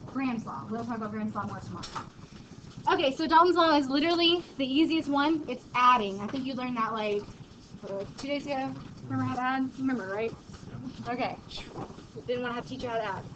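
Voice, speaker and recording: A woman teaching a high school chemistry class in a casual, joking way, quizzing her students as she goes. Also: fast. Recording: muffled classroom recording, background noise.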